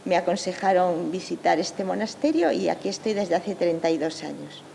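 An older woman from Madrid, the superior of a monastery, calmly answering interview questions into a handheld mic outdoors. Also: fairly low pitch, normal speed, gravelly, a crowd in the background. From Madrid, Spain. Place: cloister garden outdoors.

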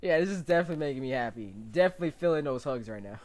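A young American man giving casual, slangy reaction commentary in a joking, animated way. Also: fairly high pitch, very fast, no echo. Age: young adult. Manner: jokey, animated, slangy, often laughing. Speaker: reaction video host. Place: small room, indoors. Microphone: desk condenser mic with pop filter.

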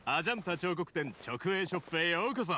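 A man's voice: sits high, lively intonation, fast, bright, forceful tone, slight background noise. A man voicing a friendly, easygoing young market craft seller with welcoming sales patter in an anime game. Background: game music.

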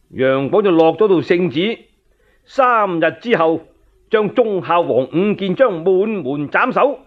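A tinny, phone-like radio recording carries a male storyteller with a fairly high voice. He narrates a historical tale in colloquial Cantonese, speaking directly to his listeners.

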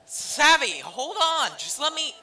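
A man's voice: extremely high pitch, very animated, takes it slowly, bright, forceful tone, a little hiss or hum, exaggerated voice. A man playing an anxious, protective young brother, earnest and nervous, in an amateur comic dub. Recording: slightly distant mic, music behind.

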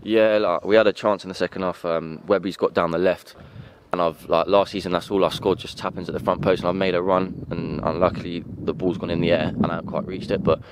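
Low-voiced young footballer, fast and flat. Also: male voice, background noise. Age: young adult. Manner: earnest, halting with restarts. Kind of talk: post-match interview. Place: outdoor football pitch at night. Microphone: handheld interviewer mic.

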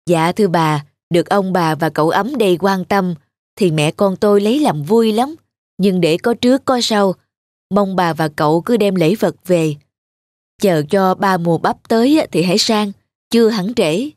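A woman voicing a kindly, resigned elderly village mother in an animated folk tale, persuading in a measured way. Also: mid-range voice, no room sound, studio quality, natural voice. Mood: polite, apologetic.

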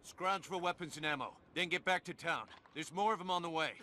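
A man's voice: fairly high pitch, brisk, pushed and bright. A man playing an urgent resistance fighter giving orders in a video game. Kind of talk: cinematic video game acting. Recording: clear battle noise behind voice.

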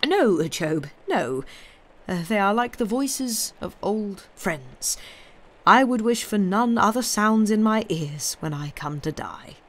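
A woman voicing a kindly, haunted old gentleman in a storybook, genteel and wistful, halting as he broods. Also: medium pitch, lively intonation, pitched above the performer's own voice.

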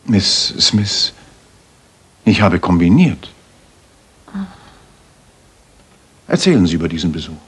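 An actor playing a shrewd gentleman detective in a radio play, wry and inquisitive, with a husky voice. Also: sits low, sing-song, normal speed, heavily acted. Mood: probing, wry. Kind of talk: dramatic German radio play acting.

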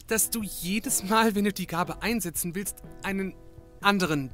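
An actor playing an eager, dry-witted young assistant to a detective in a comedy audio drama, with a nagging, excitable manner. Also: male voice, sits high, moderate pace, soft background music. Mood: sheepish.

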